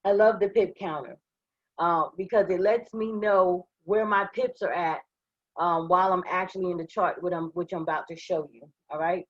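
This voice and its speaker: A hoarse woman leading a live online class, walking viewers through software step by step in an upbeat way and checking in often. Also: on the low side, fairly even intonation, moderate pace, dry recording, highs cut off. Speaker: online trading trainer. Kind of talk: live screen-share software walkthrough.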